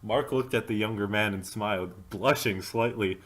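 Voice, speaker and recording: A man's voice: lowish voice, moderate pace, a bit bright. A man reading a story aloud as an amateur narrator, in a dramatic storytelling style. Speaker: fanfic reader.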